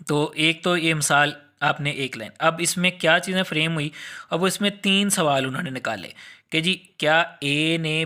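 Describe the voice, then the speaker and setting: A man's voice: fairly high pitch, pushed and bright. A man with a Pakistani accent giving an informal explanatory law lecture, mixing English legal terms into his explanations.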